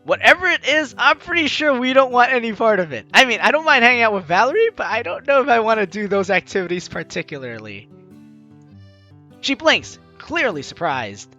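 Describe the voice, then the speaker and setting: A man with a bright, forceful voice hosts a let's play over game music. He reads the story text aloud and breaks into jokey, exclamatory commentary, his pitch leaping around theatrically. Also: very animated, a little fast, lo-fi sound. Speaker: gaming video host.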